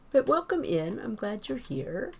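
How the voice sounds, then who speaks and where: A woman streaming a game, chatting casually with viewers and rambling as she thinks out loud. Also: medium pitch, gravelly, husky. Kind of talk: casual gameplay chat with viewers.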